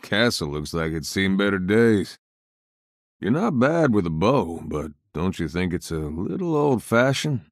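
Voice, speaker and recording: Slow-drawling Southern gunslinger. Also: male voice, sits low, sing-song, no room sound, clean studio sound, heavily acted. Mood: wry, casual. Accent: American Southern. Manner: drawling, wry, folksy. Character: weary, wry American gunslinger adventurer, laid-back and cocky. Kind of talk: video game character voice lines.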